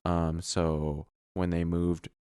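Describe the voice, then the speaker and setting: A man in his twenties, co-hosting a podcast and telling about his family in a quick, offhand way. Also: sits low, level tone, a little mellow, clean tone, no room sound, studio quality. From Colorado, USA. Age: 20s. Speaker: podcast co-host. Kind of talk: casual chat.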